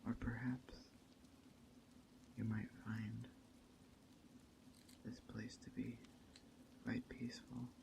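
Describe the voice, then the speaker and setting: Guy playing a chill hospitable witch, asmr roleplay, super flat n slow, soft whispery bits, rainy forest noise behind. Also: mid-range voice, creaky. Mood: hushed, reflective. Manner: gentle, unhurried, drifting into whispers. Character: calm, hospitable witch of a woodland coven. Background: rain and forest ambience.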